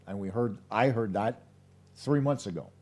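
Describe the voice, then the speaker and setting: Hoarse, emphatic hockey host. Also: male voice, fairly low pitch, normal speed, a little mellow. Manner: emphatic, opinionated, speaks straight to viewers. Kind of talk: live post-game hockey analysis.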